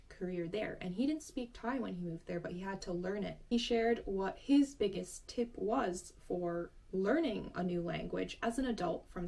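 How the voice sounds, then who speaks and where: A woman's voice: mid-range voice, vocal fry, mellow. Encouraging woman teaching language basics. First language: Finnish. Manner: friendly, encouraging, explains step by step. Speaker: language teacher and channel host. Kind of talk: casual explanatory lesson to camera.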